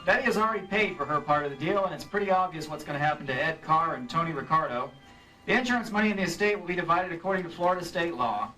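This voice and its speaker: A man playing a brisk, level-headed insurance investigator who acts and narrates in an old-time radio drama. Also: high voice, bright, forceful tone. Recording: distant live stage recording, faint noise.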